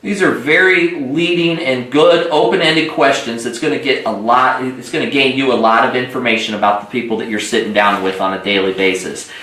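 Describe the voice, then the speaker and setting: A man's voice: mid-range voice, fairly even intonation, a little fast, hardly any pauses, bright, forceful tone. A man hosting a training call, giving direct, instructional sales coaching and repeating points for emphasis. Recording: echoey room livestream.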